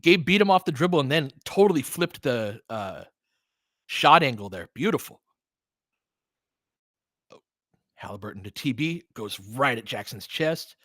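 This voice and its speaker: Excitable man calling basketball, wildly varied pitch. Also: measured pace, long pauses, dry recording, studio quality. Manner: excitable, whooping and swearing, partisan. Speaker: play-by-play commentator and host. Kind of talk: informal fan live basketball commentary.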